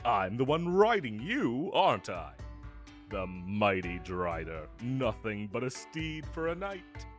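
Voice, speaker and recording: A gallant fantasy knight, acted by a man, speaks in grand, old-fashioned phrases with a playful edge. His pitch swings expressively and his delivery is unhurried, in the manner of an audio roleplay. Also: big pitch swings, faint music behind. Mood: teasing. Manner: formal, archaic, grandiose phrasing. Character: gallant, theatrical knight, honorable with a playful teasing streak.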